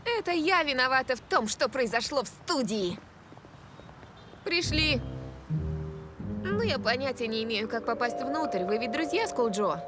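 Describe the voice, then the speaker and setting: A woman's voice: high-pitched, lively intonation, a little slow, pauses often. A woman voicing a candid, eager young female sidekick in a cartoon. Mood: apologetic, candid. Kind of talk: animated cartoon dubbing. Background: music.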